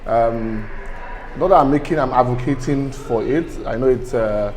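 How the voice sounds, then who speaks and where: Man interviewed amid clear noise. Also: medium pitch, moderate pace, a little mellow, low-quality recording. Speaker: street interviewee. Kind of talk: casual, considered opinion. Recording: clear background noise on location.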